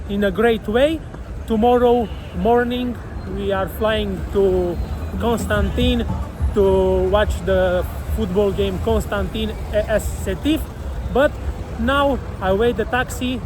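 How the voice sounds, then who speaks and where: High-voiced man vlogging. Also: noisy background. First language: Romanian. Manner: halting non-native English with many fillers. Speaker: football travel vlogger. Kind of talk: casual travel vlog narration.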